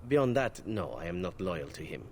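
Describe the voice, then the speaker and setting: An actor voicing a charming, glib male elf assassin in a video game, smooth and playfully teasing. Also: sits low, big pitch swings, moderate pace, slight background noise. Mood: sly. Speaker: game character.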